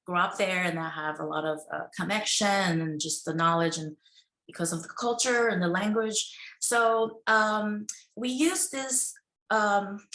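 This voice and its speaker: A woman from Taiwan on a video-call panel, speaking quickly with slightly broken phrasing. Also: medium pitch, sharp tone. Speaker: brand founder on a panel. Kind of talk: casual panel answer. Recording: echoey room.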